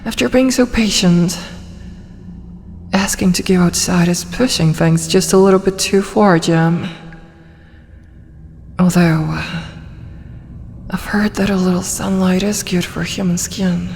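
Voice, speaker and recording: Woman acting as a tsundere dragon lady, ASMR roleplay, teasing and possessive, soft near-whispered coaxing, very slow pace, long cave-like reverb. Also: on the low side, creaky, a little hiss or hum. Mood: chiding, relenting. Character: huge tsundere female dragon, possessive, flirty, doting captor.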